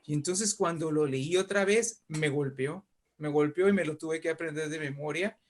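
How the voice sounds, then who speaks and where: A man's voice: a little high, moderate pace. A man speaking as a guest writer and poet in a conversational interview, telling literary anecdotes in an effusive, emphatic way and often repeating words.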